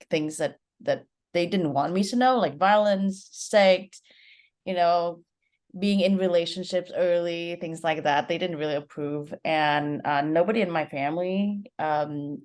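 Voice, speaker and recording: A woman's voice: deep voice, a little mellow. A woman in her twenties from Vietnam, speaking with a Vietnamese accent, chatting casually as a guest director in an interview and sprinkling her talk with "like". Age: 20s. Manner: chatty, leans heavily on "like".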